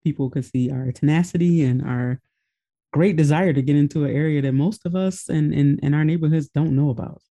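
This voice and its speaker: A man's voice: fairly high pitch, a little fast, warm tone. A man co-hosting a podcast, introducing a guest in an easygoing, rambling way. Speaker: podcast co-host.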